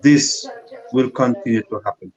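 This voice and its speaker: A man's voice: medium pitch, moderate pace. A man on a discussion panel, speaking emphatically from his work experience and agreeing often. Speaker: panel guest.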